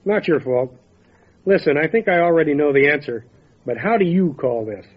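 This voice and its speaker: An actor playing a calm, methodical small-town lawman with a serious, measured way of questioning, in old-time radio drama style. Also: fairly high pitch, narrow, tinny audio. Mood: steady, pensive.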